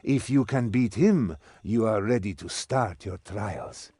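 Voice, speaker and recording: An actor playing a stern, commanding old mentor in a game cutscene, with theatrical delivery. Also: male voice, lowish voice, lively intonation, slow pace, mellow, husky, no echo, full character voice. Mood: testing, instructive. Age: older. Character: stern old male mentor, servant of a master. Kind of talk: scripted game cutscene acting.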